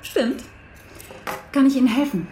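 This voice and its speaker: An actress playing a polite, grieving flower shop saleswoman in a naturalistic crime radio play, soft-spoken and hesitant. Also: high voice, measured pace, slight background noise. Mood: polite, subdued.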